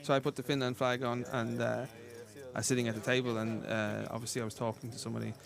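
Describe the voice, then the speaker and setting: A man's voice: mid-range voice, level tone, fast, gravelly, a crowd in the background. An Irish-accented man giving casual poker commentary, telling anecdotes in a chatty, hesitant way. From Ireland.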